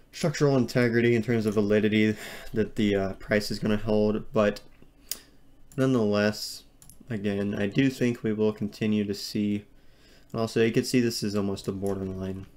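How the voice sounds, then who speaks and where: A man's voice: fairly low pitch, darkish tone. A man walking through market charts on screen in a rambling, hedging way, full of ums and restarts. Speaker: crypto market analyst. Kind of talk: screen-share chart analysis.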